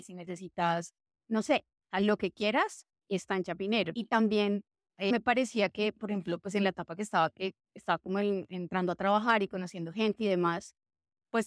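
A woman's voice: mid-range voice, dry recording. Chatty young woman, dry sound. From Colombia. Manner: chatty, full of fillers like "o sea" and "pues". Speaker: guest, café co-founder. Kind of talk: casual conversational interview.

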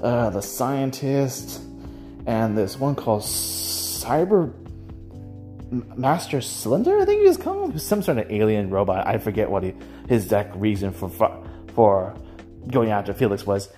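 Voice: medium pitch, big pitch swings, moderate pace, warm tone, faint music behind. A person giving casual, rambling solo commentary as a host, with a hesitant way of talking that circles back over phrases.

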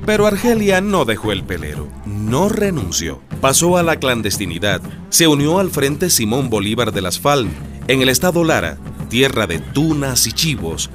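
A male actor as radio-drama narrator tells revolutionary history. His mid-pitched voice moves with lively intonation, and music plays clearly underneath. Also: normal speed, lightly acted. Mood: narrating.

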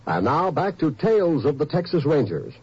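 A man reading network promos in a polished, brisk 1950s announcer style. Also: a little high, very animated, breathy edge. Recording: dull old radio transcription.

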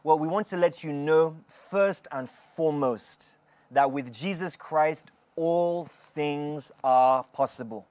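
A woman hosting a televised prayer session, preaching with measured emphasis and interviewing callers. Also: deep voice, darkish tone. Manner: measured, emphatic, slow sermon-like delivery. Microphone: studio microphone.

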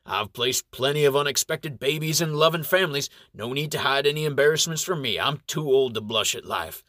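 Brief: wise cheerful elderly male clergyman, gentle counsel, slightly high man's voice, read by a man. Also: a little fast, dry recording, studio quality. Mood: advising. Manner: gentle, advising.

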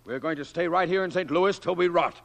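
A middle-aged man playing a blustering, stubborn but soft-hearted father in a noisy 1940s radio drama recording. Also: high voice, a little fast, creaky, crowd noise. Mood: firm. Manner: blustery, commanding.

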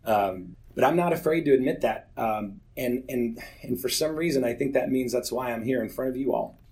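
Man, forties, mid-pitched voice, candid church testimony, earnest storytelling, self-deprecating. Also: moderate pace, a little mellow. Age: 40s. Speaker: church member giving a personal testimony. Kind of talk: personal testimony speech to a church group.

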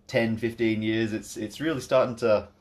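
A man with a slightly low voice and a loose, mixed Australian accent co-hosts a podcast chat. He swears freely, laughs often and stumbles over restarts. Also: steady tone, moderate pace. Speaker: podcast co-host. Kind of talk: casual chat.

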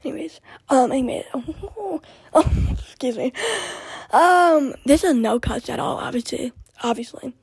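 A young woman with a high, raspy voice hosts a casual podcast. She talks in a loose, scattered way, often slipping into whispered asides. The sound is dry and close. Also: expressive, measured pace, dry recording. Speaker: podcast host. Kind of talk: casual rambling chat.

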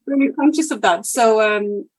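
Chatty woman, higher voice. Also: normal speed, no room sound. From India and the United Kingdom. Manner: chatty, laughs often, many fillers. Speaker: guest. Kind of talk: casual conversational interview.

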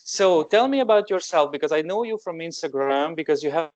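A man's voice: a little high, expressive, a little fast, darkish tone, no room sound. A man from Belgium hosting a casual interview over a video call, often repeating words and restarting sentences. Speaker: podcast host.

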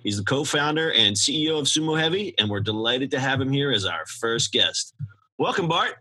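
A man hosting a podcast, introducing his guest and asking questions in an easygoing, measured way. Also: medium pitch, animated, pushed and bright, breathy edge. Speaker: podcast host. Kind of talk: podcast interview.

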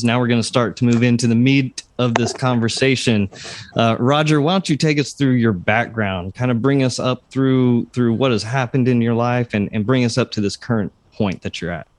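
A man of medium pitch hosts a podcast interview in a dry, close-miked sound with no room echo. He affirms what his guest says and steers the talk forward. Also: moderate pace. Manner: affirming, guiding the conversation. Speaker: podcast host. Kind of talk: interview hosting.

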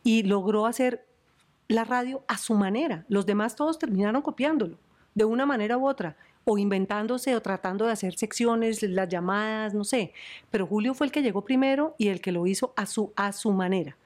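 A woman's voice: medium pitch, brisk. Colombian woman telling stories, laughing often. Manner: animated, laughs often, storytelling. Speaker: journalist and news presenter. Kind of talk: podcast interview.

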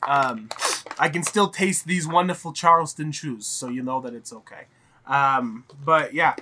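A man's voice: fairly high pitch, a little slow, sharp tone, slight background noise. Sniffly young man chatting casually. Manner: rambling, filler-heavy, laughs often. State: sniffly with a mild cold. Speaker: podcast co-host. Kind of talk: casual chat.

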